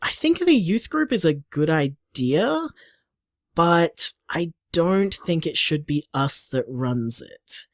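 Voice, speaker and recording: A man's voice: on the high side, no room sound, studio quality. A man playing an affectionate, playful young bar owner, chatting casually as if leaving voicemails in an audio drama. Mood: casual, upbeat.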